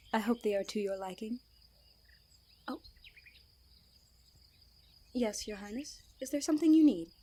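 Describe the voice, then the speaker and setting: Husky, devoted lady knight played by a woman. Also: medium pitch, warm tone. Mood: formal, dutiful. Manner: formal, earnest, restrained. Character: devoted, protective female royal knight, lover of princess. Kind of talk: ASMR fantasy audio roleplay.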